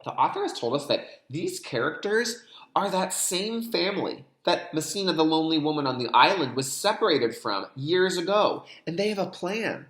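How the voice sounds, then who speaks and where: A man reads a story aloud for kindergarteners in a roomy, echoing space. His delivery is dramatic and very lively in pitch, with the clear, explanatory manner of a teacher. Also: normal speed. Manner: expressive, dramatic delivery, explains words to young listeners.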